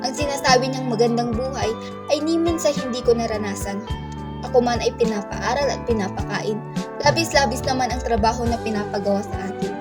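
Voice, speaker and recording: Radio drama narrator: actress as sorrowful young woman, confessional, slightly high voice, prominent music underneath. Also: brisk, close to an everyday voice. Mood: sorrowful, reflective. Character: young woman recounting her forced, hard childhood, sorrowful. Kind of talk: scripted first-person radio drama narration. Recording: loud music bed under voice.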